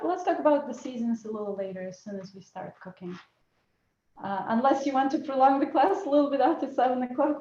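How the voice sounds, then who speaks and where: A woman's voice: on the high side, warm tone. A woman teaching Ayurvedic cooking over a video call, explaining patiently and often restarting her phrases. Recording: roomy and muffled video call audio.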